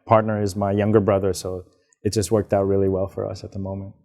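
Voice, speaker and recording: A young man from Hong Kong, answering interview questions in a relaxed, rambling way with frequent fillers. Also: deep voice, quick pace, gravelly. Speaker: guest, business founder. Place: outdoors, karaoke nearby.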